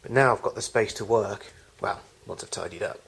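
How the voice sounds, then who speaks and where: A man's voice: fairly low pitch, brisk, gravelly, fairly bright tone, husky. A man giving an unscripted tour of his collection in a home video, recorded in a garage on amateur camcorder audio.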